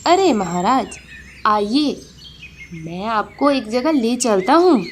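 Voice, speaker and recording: A woman voicing a sly, quick-witted male fox in a children's animated story, with a wily, persuasive manner. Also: on the high side, slight background noise. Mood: coaxing.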